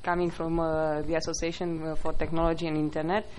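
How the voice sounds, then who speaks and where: A woman from Romania introduces herself as a fellow, stopping often and searching for words. Her voice is low for a woman and very flat in pitch, with a light hiss behind it. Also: low-quality recording. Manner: hesitant, with many pauses.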